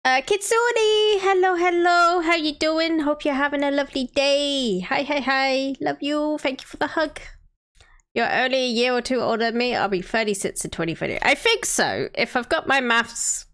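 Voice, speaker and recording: A woman's voice: sits high, lively intonation, moderate pace, a bit bright. Chatty woman in her thirties. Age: 30s. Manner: chatty, thinks aloud, greets viewers by name. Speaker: streamer. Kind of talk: casual live-stream chat while playing a city-building game.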